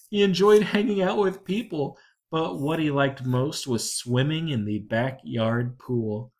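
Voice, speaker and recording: A narrator reading a children's picture book aloud, expressively and with emphasis on key words. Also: medium pitch. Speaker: story reader.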